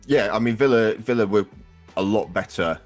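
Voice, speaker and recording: A man with a low, deep voice hosts a casual sports podcast. He talks conversationally through his points, with faint music playing behind him. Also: moderate pace. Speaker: podcast host.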